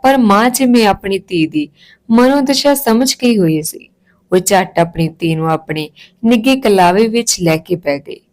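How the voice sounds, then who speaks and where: Woman, storyteller, scripted emotional narration, medium pitch. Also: lively intonation, unhurried, darkish tone.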